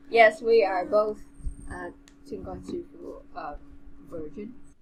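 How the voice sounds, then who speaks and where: A woman co-hosting a read-along podcast, reading and chatting casually in a halting way, often repeating words. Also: mid-range voice, low-quality recording. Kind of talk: reading aloud and casual reaction chat.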